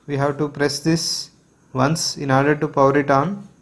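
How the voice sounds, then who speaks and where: A man's voice: a little high, level tone, normal speed. A man with an Indian accent narrates a product walkthrough in a methodical, explanatory manner. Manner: explanatory, methodical, repetitive phrasing. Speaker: product reviewer.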